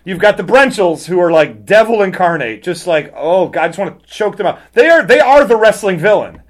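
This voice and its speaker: A man's voice: high-pitched, big pitch swings, a little fast. A man hosting a podcast in casual chat, ranting with hyperbole, sarcasm and mock outrage. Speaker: podcast host.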